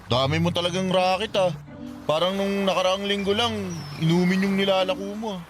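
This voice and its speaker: Quick, high-voiced mild young Filipino man an actor. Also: fairly even intonation, over music, close to an everyday voice. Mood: curious. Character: weary, mild-tempered young man, caring poor friend. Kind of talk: Filipino radio drama acting.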